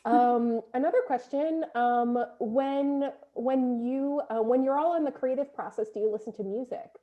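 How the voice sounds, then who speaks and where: A woman's voice: high voice, moderate pace, narrow, tinny audio. A woman moderating an informal panel conversation, speaking casually and tentatively as she puts questions and reflects. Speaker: play director and panel moderator.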